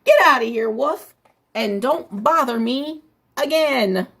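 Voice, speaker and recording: A woman voicing a rude, impatient male little pig from a storybook, yelling curtly. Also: high voice, expressive, slow pace, slightly rough, exaggerated voice. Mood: annoyed. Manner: yelled, curt.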